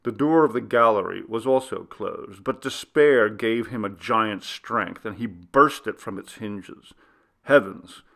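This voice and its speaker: A man reading a classic Gothic story as scripted narration, in a theatrical, deliberate, literary manner. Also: lowish voice, gravelly, a bit bright. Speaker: audiobook narrator.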